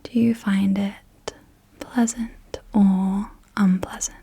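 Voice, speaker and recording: Slow breathy whispering woman. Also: mid-range voice, flat delivery. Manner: soft unvoiced whisper throughout; slow, gentle questioning. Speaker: ASMR host. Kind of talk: whispered ASMR guided visualisation. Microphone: close sensitive mic.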